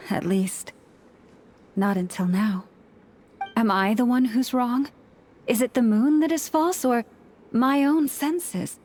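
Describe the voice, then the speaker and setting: A woman playing a serene, melancholy young antlered priestess in a video game, speaking in a solemn, measured, formal storytelling manner. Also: fairly high pitch, a little mellow. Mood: vulnerable, relieved. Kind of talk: scripted video game dialogue.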